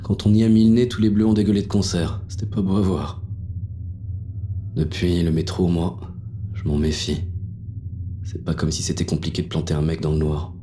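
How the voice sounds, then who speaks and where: A man's voice: low voice, steady tone, moderate pace, long pauses, warm tone, natural voice. A man playing a jaded, wary police inspector who narrates a noir audio drama in a blunt, world-weary, slangy way. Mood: grim, cynical.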